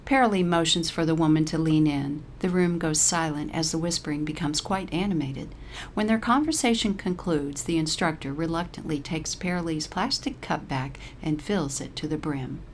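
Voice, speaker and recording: Low-pitched woman reading a story, noisy recording. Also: normal speed. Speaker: narrator. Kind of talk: scripted short-story narration.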